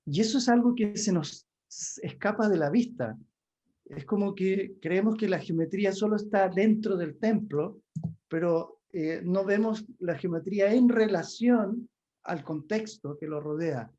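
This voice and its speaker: A man's voice: high voice, normal speed, dark tone. A man hosting an interview, asking his questions hesitantly and searching for words with many restarts. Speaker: interview host. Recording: dull lo-fi sound.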